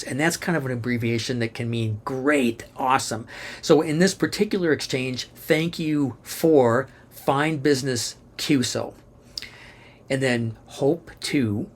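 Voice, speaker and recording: A man narrating an instructional tutorial, explaining methodically step by step and spelling out letters one at a time. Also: mid-range voice. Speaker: instructor and presenter.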